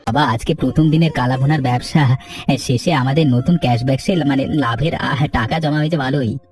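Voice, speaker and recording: A man's voice: mid-range voice, fairly quick, a little mellow, close to an everyday voice. A man voicing a kind, generous young food-stall owner in an earnest cartoon manner. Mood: pleased. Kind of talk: dubbed cartoon dialogue. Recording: distant-sounding, music underneath.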